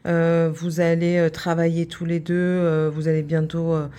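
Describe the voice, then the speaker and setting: A woman's voice: low-pitched, completely flat delivery, moderate pace, mellow, smooth. A middle-aged woman from France, hosting a craft channel and chatting casually, rambling with many fillers and restarts.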